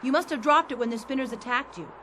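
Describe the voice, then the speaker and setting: A woman's voice: sits high, fast talker, a little hiss or hum. A woman playing a plucky, anxious adventurer in a science fiction radio drama, speaking in an earnest, candid way. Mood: tense, recounting. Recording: loud ambient sound effects behind.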